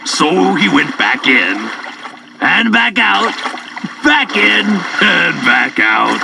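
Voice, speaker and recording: A man narrating children's stories in a playful, theatrical, drawn-out way. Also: on the high side, vocal fry, sharp tone, slightly rough, no room sound, rough recording. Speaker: storyteller. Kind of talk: animated children's story narration. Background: music.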